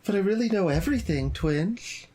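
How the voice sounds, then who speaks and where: A woman voicing a sweet teenage girl who has become club president, speaking with calm, clingy devotion. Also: medium pitch, normal speed, close to an everyday voice. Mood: devoted.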